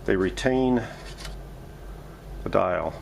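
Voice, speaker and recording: A man's voice: low-pitched, expressive, drawn-out words, gravelly, background noise. A man giving casual, step-by-step narration as he works, muttering his thoughts aloud in a workshop. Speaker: vintage radio restorer hosting a workshop video. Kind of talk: casual hands-on narration while working. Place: home workshop.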